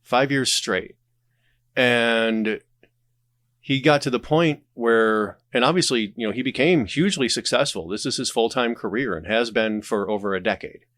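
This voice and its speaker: Man, mid-pitched voice, casual podcast guest, animated storyteller, very dry sound. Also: moderate pace, dry recording. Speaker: podcast guest, audio drama creator.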